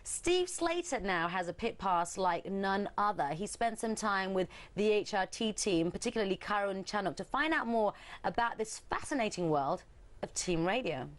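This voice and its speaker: A woman's voice: medium pitch, animated, normal speed, a little hiss or hum. A woman presenting a sports feature in a scripted broadcast style. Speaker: studio presenter.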